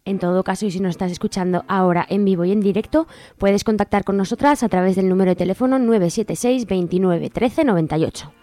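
A woman's voice: medium pitch, fast talker, mellow. A woman with a Spanish accent from Spain co-hosting a live community radio show. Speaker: radio co-host. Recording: music playing behind the voice. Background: music.

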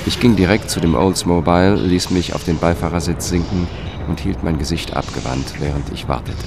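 An actor playing a dogged, tense male investigator who tells his own thriller in a sober, measured way in a radio drama. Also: low voice, vocal fry. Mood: tense narration. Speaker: first-person narrator and lead character. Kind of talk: radio drama narration and dialogue. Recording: music under the voice.

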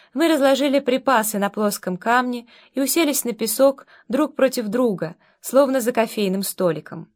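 A woman narrating a novel as an audiobook reader, in a measured, wry storytelling manner. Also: a little high.

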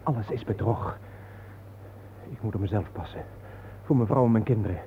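A man's voice: on the low side, normal speed, close to an everyday voice. Radio play, tinny sound with slight hiss: male actor as defensive, anxious sceptic, a family man, agitated delivery. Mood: defensive, bitter. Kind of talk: radio drama acting. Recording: muffled, noisy old radio play recording.

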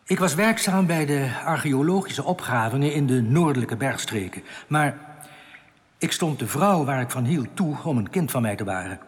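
Long cave-like reverb, man acting alien outcast, animated intonation, earnest, reassuring, quietly bitter, deliberate, science-fiction radio play. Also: mid-range voice, natural voice. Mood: solemn, bitter.

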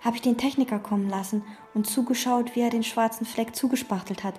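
An actress playing a withdrawn, obsessive hotel chambermaid in a radio play, speaking in calm, terse sentences. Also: female voice, a little high, fairly quick, faint music behind, lightly acted. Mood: detached. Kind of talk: radio play, inner monologue and dialogue.

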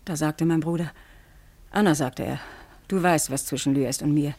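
An actress playing a fearful yet determined, secretive farmer's wife in a radio play. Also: female voice, low-pitched, moderate pace, darkish tone, dry recording. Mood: brooding, hushed. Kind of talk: German radio play acting.

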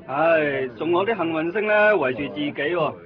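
A man's voice: a little high, moderate pace, a crowd in the background, close to an everyday voice. A man playing a grumbling, gossipy neighbourhood onlooker in a Hong Kong Cantonese radio drama from the 1990s. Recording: dull old radio recording.

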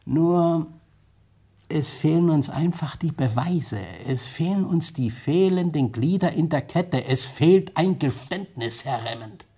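An actor playing a shrewd, gently mocking police detective in an old German radio crime play, pressing his points with pointed questions. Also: a little high, a little slow, husky. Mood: insistent, probing. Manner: rhetorical questions, prodding interjections, pointed emphasis. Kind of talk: mid-20th-century German radio crime drama acting.

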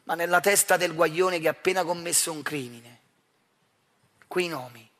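A man with a Neapolitan accent performing a theatrical monologue, speaking straight to the audience in a measured, intense way. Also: a little high, fairly bright tone. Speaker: stage actor.

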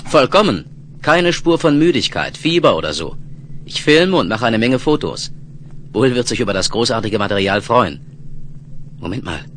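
A man's voice: medium pitch, big pitch swings, normal speed, a bit bright. A man in a 1970s German radio play, acting a daring young reporter on a hostile planet, confident and articulate. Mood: calm, wondering. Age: young adult. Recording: noisy vintage radio play with vehicle ambience.

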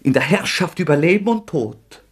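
An actor playing a tormented, defiant young man confessing to murder in an agitated, anguished German radio drama performance, with a husky voice that often breaks. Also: fairly high pitch, expressive. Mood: fervent, proud. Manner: agitated, anguished, halting outbursts.

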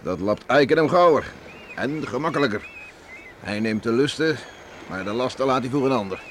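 A man's voice: medium pitch, expressive, moderate pace, close to an everyday voice. Radio drama: male actor for older horseman father, medium register, hearty and teasing; slightly noisy archive recording. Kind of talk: Dutch radio drama acting.

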